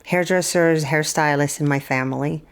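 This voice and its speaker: A middle-aged woman from the Republic, a podcast guest telling her story in a measured, steady way with soft trailing endings. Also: sits low, clean tone. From Czech Republic. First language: Czech. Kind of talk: podcast interview.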